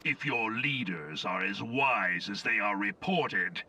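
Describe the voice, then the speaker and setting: An actor playing an imperious, cold and menacing armored warlord in a video game, with a raspy, forceful voice. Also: male voice, on the low side, animated, takes it slowly, far from the mic, heavily acted. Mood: cold menace.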